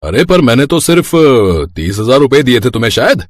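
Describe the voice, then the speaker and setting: A man voicing a gruff but kindly middle-aged grain merchant in a children's moral story, plain-spoken and brotherly. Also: mid-range voice, very animated, brisk, no room sound, clean studio sound. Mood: warmly impressed.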